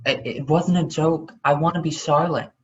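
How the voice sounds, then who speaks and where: A man reads as a shy, hurt young schoolgirl in a novel. The delivery is halting and small, and the lo-fi recording muffles it slightly. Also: a little high, fairly even intonation, crowd noise, voice pushed up, close to an everyday voice. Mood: hesitant, hurt. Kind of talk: dramatic novel reading. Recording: dull, lo-fi sound.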